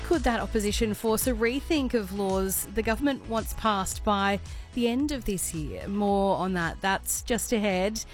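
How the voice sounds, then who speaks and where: A hoarse woman with an Australian accent, hosting morning talkback radio in a chatty, friendly way. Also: medium pitch, measured pace, faint music behind. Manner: chatty, friendly, with some ums and uhs. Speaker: radio host. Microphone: studio microphone.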